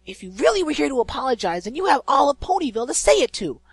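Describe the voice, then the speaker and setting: A man voicing a brash, hot-tempered young female pegasus who shouts her lines in a fan audiobook. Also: extremely high pitch, big pitch swings, fast, gravelly, no echo, exaggerated voice. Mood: angry. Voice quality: loud, shouting.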